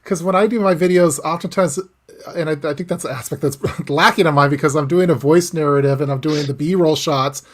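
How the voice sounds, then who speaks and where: A man's voice: on the high side, fairly quick. A man hosting a casual interview, rambling questions with frequent stammers and restarts. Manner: rambling, stammering, warm laughs. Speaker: host, interviewer.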